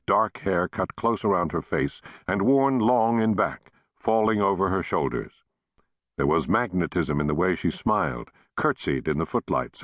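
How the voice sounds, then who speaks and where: Male audiobook narrator, very deep voice, lively varied pitch, measured and expressive delivery, dry studio sound. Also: dry recording. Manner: measured, expressive storytelling reading.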